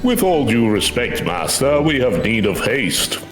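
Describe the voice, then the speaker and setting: A man's voice: mid-range voice, sing-song, a little slow. A man voicing a courteous, earnest magical familiar in a video game, speaking formally and eloquently. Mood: earnest, urgent. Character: courteous, earnest male magical familiar who hunts nightmares. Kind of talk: video game quest dialogue read aloud. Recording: echoey voice over game music.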